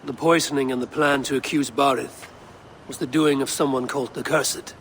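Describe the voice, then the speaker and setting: An actor playing a steady, grim male Viking warrior who speaks tersely. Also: medium pitch, normal speed, a little hiss or hum, close to an everyday voice. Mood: determined. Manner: terse, resolved.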